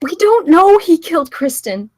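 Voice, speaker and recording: A woman's voice: very high voice, very animated, normal speed. An actress at a screenplay table read playing Jess, an anxious, devoted woman who speaks in an earnest, pleading, placating way. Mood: soothing, worried.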